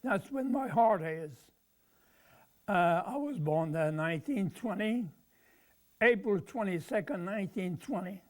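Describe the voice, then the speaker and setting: High-voiced man in his nineties. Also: lively intonation. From Croatia. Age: 90s. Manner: halting, with many pauses and fillers. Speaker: veteran being interviewed. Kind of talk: oral history interview.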